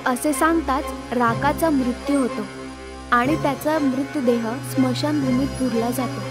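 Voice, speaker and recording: A woman's voice: sits high, fairly even intonation, a little slow, over music. A woman reading scripted narration as the storyteller of a horror tale.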